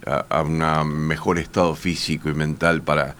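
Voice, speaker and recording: A man's voice: rumbling low, little pitch movement, moderate pace, fairly bright tone. A Uruguayan man, a university teacher, speaking in a panel discussion with frequent hesitations and restarts. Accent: Uruguayan Spanish. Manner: hesitant, many fillers and restarts.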